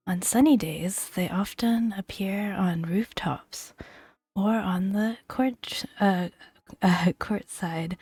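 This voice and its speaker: A woman speaking softly in a breathy near-whisper, gently and unhurriedly, in an ASMR style with pauses between phrases as she reads game entries aloud. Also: lowish voice, no room sound. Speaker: gaming video host. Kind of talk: soft-spoken ASMR, reading game entries aloud with casual asides.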